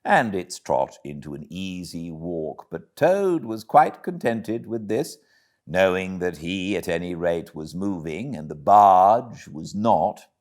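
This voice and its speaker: A British man narrating a classic storybook as an audiobook reader, with expressive delivery. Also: low-pitched. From Britain.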